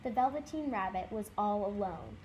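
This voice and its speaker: A woman's voice: mid-range voice, lively intonation, normal speed, dark tone, low-quality recording. A woman volunteer narrating a children's story read aloud. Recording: clear background noise.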